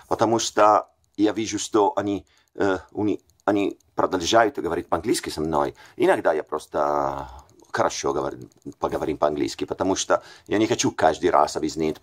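Italian man, 40s, low voice, casual hesitant vlog to a desk camera in a small room. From Italy. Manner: hesitant, many fillers and self-corrections. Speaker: language learner vlogger. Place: small bright room indoors.

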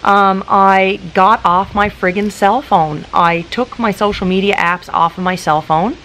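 A woman in her late thirties talking calmly and practically to camera, chatty and casual, recorded outdoors in a garden with some wind. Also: medium pitch, unhurried, rough recording. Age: late 30s. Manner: calm, practical, chatty with many likes. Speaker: YouTuber. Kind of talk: casual vlog talk to camera. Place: outdoors in a garden in front of hedges.

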